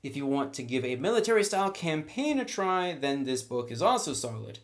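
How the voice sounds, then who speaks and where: A man's voice: on the high side, sing-song, brisk. A man reviewing a game book in a casual, unscripted way, explaining things informally and conversationally.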